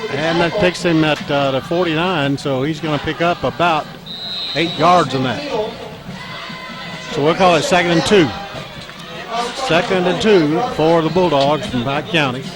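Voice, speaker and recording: A man with a scratchy, strained voice calling a high school football game play by play, halting with fillers and lifting when a run breaks loose. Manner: halting, frequent fillers, rises when plays break open. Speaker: play-by-play announcer. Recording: crowd and music under the voice. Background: crowd and band music.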